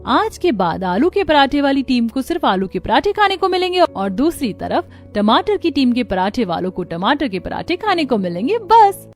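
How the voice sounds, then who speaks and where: Woman acting stubborn, huffy young daughter-in-law, highish voice. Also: very animated, normal speed, hardly any pauses, soft background music. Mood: insistent. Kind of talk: Hindi moral-story cartoon dialogue.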